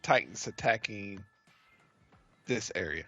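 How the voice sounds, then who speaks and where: Young male streamer with a gravelly, crackly voice that swings up and down a lot. He talks through gameplay casually and gets easily worked up, often sounding amazed or unconvinced. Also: on the low side, dry recording, soft background music. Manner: excitable, quick to disbelief. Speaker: game streamer.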